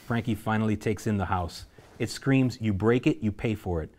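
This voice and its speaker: Low-voiced man reading screenplay directions. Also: moderate pace, noisy background. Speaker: stage-directions narrator at a table read. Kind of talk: scripted narration of screenplay action.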